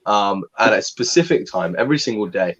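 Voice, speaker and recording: A young man co-hosting a casual livestream, talking in a calm, measured way and reading a listener's letter slowly. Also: on the low side, poor audio. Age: young adult. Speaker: co-host.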